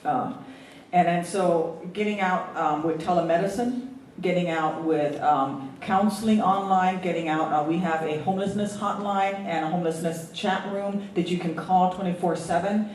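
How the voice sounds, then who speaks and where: A woman with a faint Hawaiian lilt gives panel remarks as a government official, earnest and full of ums and restarts. She sounds set back from the microphone in a reverberant event hall, with a soft murmur of room noise behind her. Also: fairly low pitch, moderate pace, recorded from a distance. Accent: slight Hawaiian. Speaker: federal agency official on a panel.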